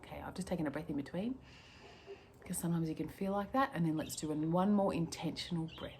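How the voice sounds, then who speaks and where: Woman, croaky from a cold, low-ish voice, gentle, unhurried, chatty, faint ambient noise. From Australia. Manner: gentle, chatty, slows down to calm. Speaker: mindfulness and stress coach. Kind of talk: guided breathing lesson to camera.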